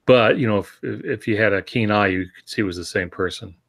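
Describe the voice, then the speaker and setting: An older man, speaking as a panelist in a casual discussion, measured and explanatory. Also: fairly low pitch, gravelly. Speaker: podcast panelist and novelist.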